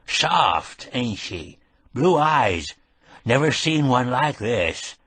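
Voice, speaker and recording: A man voicing a frail old rural caretaker who speaks slowly in short, country-grammar sentences, with a nasal, juicy lisp. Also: medium pitch, sing-song, fairly bright tone, breathy edge, no room sound, voice pushed up, full character voice. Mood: fond, reminiscing. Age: older. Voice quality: nasal resonance and juicy, lisping sibilance.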